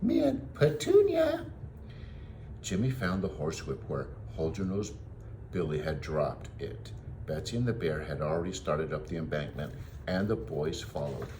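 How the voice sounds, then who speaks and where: A man, a teacher, reading a children's storybook aloud in an expressive way. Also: extremely low pitch, normal speed, background noise.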